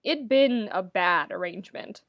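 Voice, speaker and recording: A woman narrates fiction in an expressive storytelling style. She leans on phrases with wry, theatrical emphasis. The sound is dry, with very little room in it. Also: mid-range voice, a little slow, dry recording. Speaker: podfic narrator reading fan fiction.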